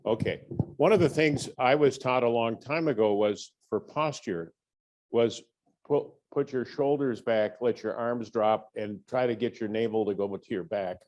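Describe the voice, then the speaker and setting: A man in the audience asking a question, halting and repeating words as he goes. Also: mid-range voice, darkish tone.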